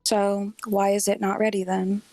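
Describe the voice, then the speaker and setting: Terse female producer acted, gravelly fry, dry close sound, matter-of-fact. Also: mid-range voice, little pitch movement, normal speed, mellow, no room sound, faint music behind, lightly acted. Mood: curt. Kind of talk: audio drama dialogue.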